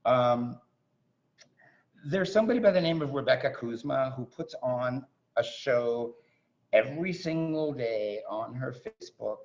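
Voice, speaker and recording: A man hosting a show with an informal welcome speech, halting and often repeating his words. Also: medium pitch, expressive. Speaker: host introducing a theatre show. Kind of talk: informal welcome speech with thanks and shout-outs. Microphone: video call. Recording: muffled video call audio.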